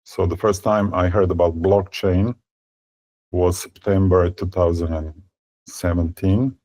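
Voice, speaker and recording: Deep-voiced man, halting speech. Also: steady tone, warm tone. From Serbia. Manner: halting, with many fillers and repeated words. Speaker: tech company founder, guest. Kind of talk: conversational interview.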